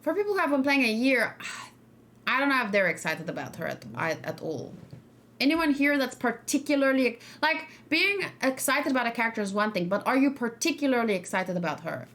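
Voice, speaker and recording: A woman's voice: on the high side, big pitch swings, fairly quick, a bit bright, slight background noise. A woman hosting a casual livestream discussion, rambling and hesitant as she thinks aloud and talks with her viewers. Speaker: streamer host.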